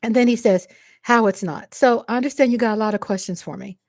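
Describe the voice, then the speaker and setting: A woman's voice: a little high, fast, a little mellow, dry recording, clean studio sound. A woman with a Texan accent speaking straight to her viewers, passionate and emphatic, and she repeats phrases for stress. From Texas. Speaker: vlogger answering a commenter. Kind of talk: impassioned direct-to-camera monologue.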